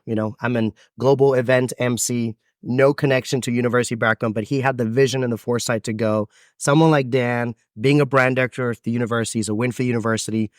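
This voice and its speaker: A man in his thirties with an American accent, a guest telling his life story with expansive, dramatic pauses. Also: medium pitch, no room sound, studio quality. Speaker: podcast guest, event MC. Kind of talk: storytelling interview answers.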